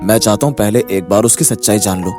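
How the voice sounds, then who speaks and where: A man's voice: on the low side, fast talker, music in the background, natural voice. A man voicing an earnest, serious village husband in a moral story cartoon. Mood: earnest, wounded.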